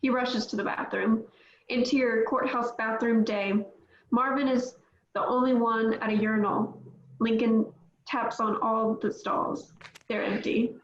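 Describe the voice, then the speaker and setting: A woman reading stage directions aloud at a table read, plain and even. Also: on the high side, measured pace, roomy sound, lo-fi sound.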